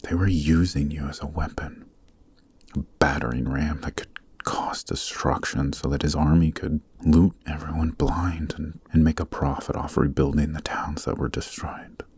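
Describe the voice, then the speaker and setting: Man playing a very deep, gravelly demon king. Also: fairly even intonation, a little mellow, slight background noise. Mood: persuasive, grave. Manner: measured, soothing, deliberate, breathy near-whisper delivery. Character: noble, calm demon king, gentle and conciliatory. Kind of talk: ASMR roleplay, soft dramatic acting.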